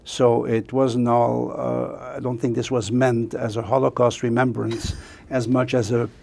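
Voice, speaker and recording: An older man whose native language is French, a guest author speaking reflectively in an interview with many pauses and fillers. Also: mid-range voice, little pitch movement, creaky, darkish tone, slight background noise.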